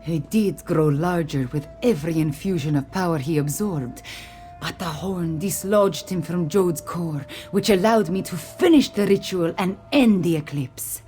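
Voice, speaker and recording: A woman acts a regal Khajiit warrior queen for a video game. Her voice is low and soft-edged, with formal, measured, earnest phrasing and a weary weight. Faint music plays underneath. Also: natural voice. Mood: earnest, solemn.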